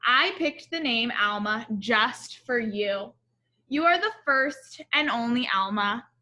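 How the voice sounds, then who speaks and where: A loving storybook father, read by a woman in a bright voice, fairly high. The delivery is measured and gentle, as a parent tells family history. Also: animated, full character voice. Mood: fond, reflective.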